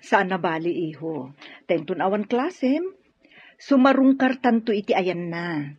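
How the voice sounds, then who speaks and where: A woman's voice: mid-range voice, animated, measured pace, husky. A woman playing an affectionate middle-aged mother in a radio drama, speaking in a chatty, motherly way. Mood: motherly, patient. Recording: dull, dry studio audio.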